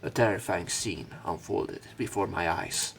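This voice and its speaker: A man's voice: deep voice, a little slow, vocal fry. A man reading a visual novel aloud as a let's play, breaking off into casual, irreverent commentary with some swearing. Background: game music.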